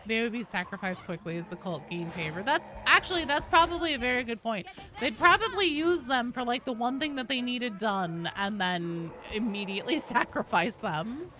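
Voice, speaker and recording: A woman livestreamer chatting to her viewers in a casual, rambling, animated way, with salty slang. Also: on the high side, normal speed. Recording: clearly noisy background.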